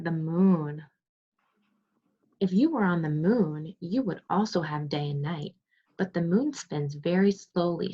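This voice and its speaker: A woman's voice: fairly low pitch, darkish tone, husky. A woman teacher reading aloud and explaining to young children, asking questions and praising pupils as she goes. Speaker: second grade teacher. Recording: dull lo-fi sound.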